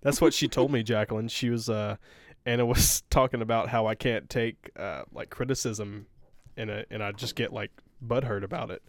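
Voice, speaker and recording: A soft-spoken man co-hosting a podcast in laid-back casual chat. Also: fairly low pitch, normal speed, no echo. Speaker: podcast co-host.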